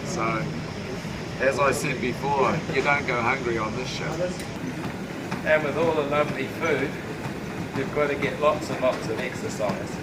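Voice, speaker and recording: Enthusiastic man over loud noise. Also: medium pitch. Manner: enthusiastic, slightly rambling. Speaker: cruise passenger. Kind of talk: casual chat.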